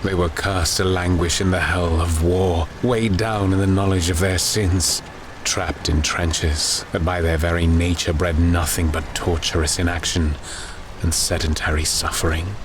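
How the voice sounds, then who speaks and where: A man narrating a dark war horror audiobook in a grave, solemn, dramatic scripted style. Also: low voice, steady tone, measured pace, background noise.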